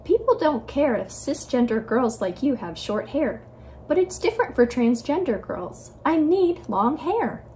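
A woman's voice: high-pitched, sing-song, unhurried, dark tone, a little hiss or hum. A woman reading aloud as an earnest, frustrated young girl in a picture book, plaintive and insistent. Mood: earnest, downcast.

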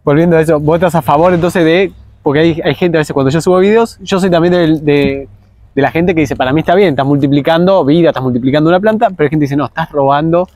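A man in his thirties with an Argentine accent asks questions in a casual interview. His voice is slightly high and soft-edged, with a dry, close sound. His phrasing is informal and a little halting. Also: dry recording. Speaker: interviewer, gardening video creator. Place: seated outdoors in a garden.

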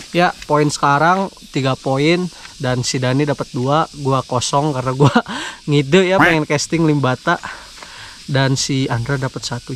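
A young man with a Sundanese accent, chatting casually to viewers while fishing outdoors, slangy and quick to laugh. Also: mid-range voice, slight background noise. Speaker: fishing vlogger. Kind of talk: casual vlog narration. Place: outdoors by a pond in rural greenery.

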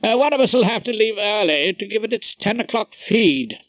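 A man's voice: sits high, animated, normal speed, a bit bright, no room sound, pitched above the performer's own voice. A man voicing a fussy, grudging male barrister in an audiobook, prim and a little petulant.

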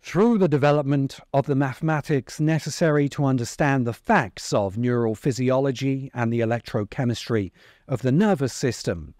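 A man's voice: mid-range voice, darkish tone, dry recording. A middle-aged man hosting a video essay, delivering scripted narration into a close microphone. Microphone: close microphone on a stand in front of him.

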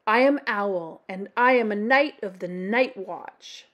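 A woman's voice: medium pitch, slow pace, dull audio, close to an everyday voice. A woman voicing a small, brave but timid male owl knight with a hooting voice in a storybook. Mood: nervous, brave.

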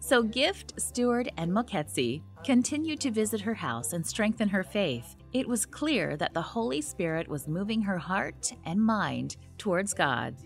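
A woman delivering scripted documentary narration in a measured, expressive way. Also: medium pitch, gravelly, faint music behind.

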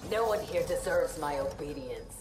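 A woman's voice: low voice, expressive, moderate pace, echoey room, recorded from a distance. A woman voicing an arrogant, defiant young female ice warrior in a video game, curt and haughty. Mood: haughty defiance.

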